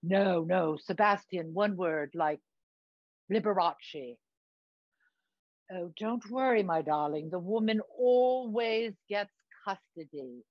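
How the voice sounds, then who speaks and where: A woman's voice: lowish voice, slow pace, dry recording, lo-fi sound, voice pushed down. Woman doing a campy theatrical man, affected British accent. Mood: grand, self-dramatizing. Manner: grand, campy, emphatic. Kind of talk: theatrical monologue, acted dialogue.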